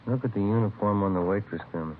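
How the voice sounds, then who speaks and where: Easygoing actor as a detective partner, noisy radio. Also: male voice, lowish voice, quick pace, lightly acted. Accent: American. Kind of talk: 1950s radio drama dialogue. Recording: noisy, narrow-band vintage radio recording.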